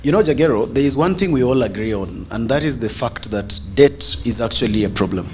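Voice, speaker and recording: A man with a Kenyan accent, a panel guest making a deliberate, rhetorical political argument. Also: mid-range voice, lively intonation, darkish tone, a little hiss or hum. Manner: deliberate, rhetorical, addresses the host directly.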